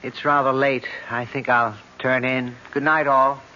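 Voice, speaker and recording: An actor playing a measured storyteller in a radio drama, deliberate with dramatic pauses. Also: male voice, medium pitch, flat delivery, sharp tone. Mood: measured, solemn. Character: measured storyteller recounting legend of a goddess. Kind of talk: 1970s radio drama acting. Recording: lo-fi old radio with faint noise.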